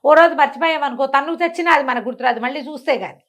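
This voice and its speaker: ఆంధ్ర యాసలో కథ చెప్పే మహిళ, కాస్త హెచ్చు స్వరం. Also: female voice, sits high, very fast, a bit bright. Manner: conversational, explains with asides and rhetorical questions. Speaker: storyteller. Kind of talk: informal spoken bedtime storytelling for a listener.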